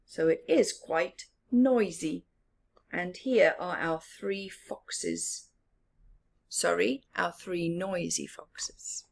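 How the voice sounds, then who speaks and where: A woman reading a picture book aloud to children with expressive, drawn-out emphasis and asides about the story. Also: on the low side, creaky. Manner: animated, drawn-out emphasis with whispered words.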